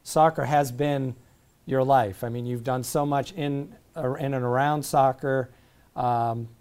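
A man's voice: medium pitch, steady tone, a little slow, a little mellow, clean tone. A man interviewing a former player, asking long, rambling questions full of fillers. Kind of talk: casual interview.

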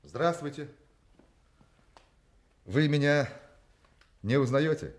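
An actor playing a brazen young swindler in a Russian radio play, suave, ingratiating and mock-dignified. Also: male voice, on the high side, animated, slow. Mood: suave, familiar. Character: smooth, brazen young male swindler posing as hero's son.

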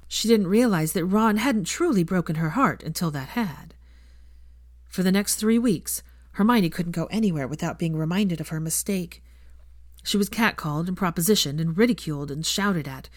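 A woman's voice: on the low side, lively intonation, normal speed, no room sound, studio quality. A woman reading fiction aloud as scripted audiobook narration.

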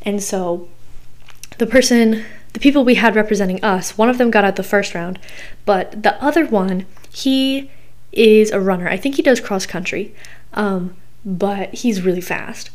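A young woman hosts her own podcast in a voice gone hoarse and scratchy. She rambles in a loose, chatty way, filling every pause with ums and uhs. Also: mid-range voice, a little mellow. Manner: rambling, chatty, many fillers. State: hoarse, recovering from losing her voice. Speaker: podcast host. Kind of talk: casual solo podcast chat.

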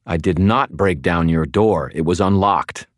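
A man voicing a hot-tempered, sarcastic wizard with a forceful, exasperated manner in an audiobook. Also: sits low, measured pace, dry recording, clean studio sound.

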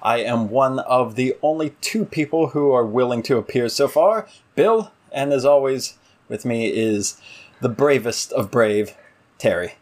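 A man's voice: mid-range voice, expressive, a little slow. A man co-hosting a podcast in a casual discussion, with a wry, playfully exaggerating way of talking. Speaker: podcast co-host.

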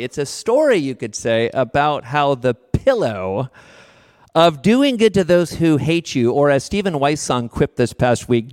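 A male pastor giving a sermon, earnest and building repeated images with slow, emphatic phrases. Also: mid-range voice, a little mellow. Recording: long hall reverb.

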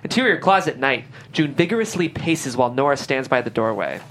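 Woman narrator, script table read, very low pitch, animated delivery, faint room noise. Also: brisk. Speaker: stage-direction reader.

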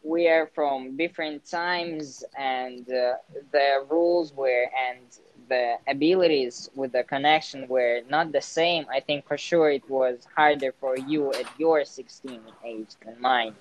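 Slow, hesitant teen with Russian accent. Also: male voice, medium pitch, dull audio. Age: teens. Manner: hesitant, many fillers, searching for English words. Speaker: interviewee, exchange student. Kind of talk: casual conversation.

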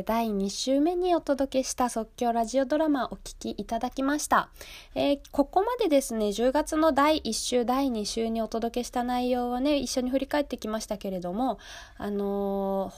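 A woman's voice: high-pitched, a little mellow. A woman presenting a radio show in a polite, chatty, conversational way. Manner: polite, chatty, often seeking agreement.

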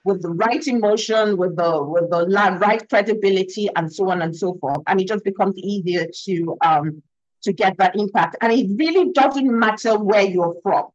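A woman with a Nigerian accent makes her points with earnest emphasis and checks in with a quick "yeah?" as she goes. Her voice sits at a middling pitch. It comes through a video call and sounds dry and a little muffled. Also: no echo. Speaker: communication expert guest. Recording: dull, slightly lo-fi dry sound.

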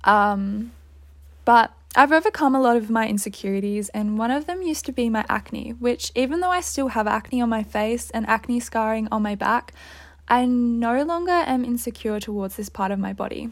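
Earnest young woman, slightly high. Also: normal speed. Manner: earnest, upbeat, with light laughs. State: dry throat, losing voice. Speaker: podcast host. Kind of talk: solo podcast monologue.

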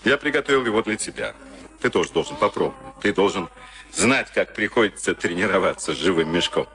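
An actor in a Soviet radio play playing a sadistic SS camp officer, mocking and commanding, with theatrical delivery. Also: male voice, lowish voice, lively intonation, brisk, sharp tone. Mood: gloating. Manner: mocking, theatrical, barking orders. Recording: archival radio drama with music behind.

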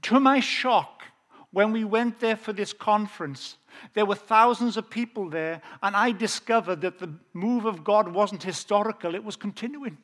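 A Welsh man preaching a personal testimony, with a voice pitched noticeably high for a man. He tells his story earnestly and slows down on the phrases that matter most. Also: a bit bright. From South Wales. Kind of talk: testimony sermon.

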